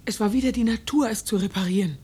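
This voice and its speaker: An actress playing a stubborn, sorrowful, reclusive farm woman in a radio drama, with a husky, terse delivery. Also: medium pitch, fast talker, mellow. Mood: guarded, dismissive. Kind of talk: German radio drama acting.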